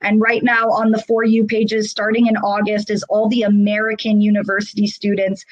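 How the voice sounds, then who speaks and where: A woman's voice: mid-range voice, monotone, moderate pace, no room sound, muffled recording. Canadian woman, webinar presenter; level delivery, dry close audio, casual filler-heavy talk. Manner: chatty, many fillers, calls listeners guys. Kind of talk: webinar slide walkthrough. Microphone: video call.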